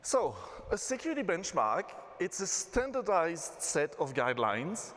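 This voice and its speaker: A man from Belgium with a Belgian accent, giving a relaxed conference talk as a systems engineer. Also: a little high, a little slow. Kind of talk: conference presentation. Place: conference hall. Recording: reverberant hall.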